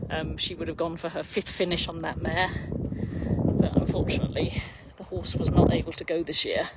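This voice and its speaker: A man with a fairly high voice and plenty of creak chats off the cuff as a live race commentator. He rambles and often breaks off mid-thought. The recording is outdoors and very noisy. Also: little pitch movement, moderate pace. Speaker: live stream commentator at a horse endurance race. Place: outdoors beside a horse trail.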